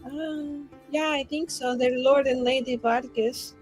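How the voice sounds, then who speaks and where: A woman's voice: high voice, fairly even intonation, measured pace, soft background music. A woman talking casually as a player in a tabletop roleplaying game.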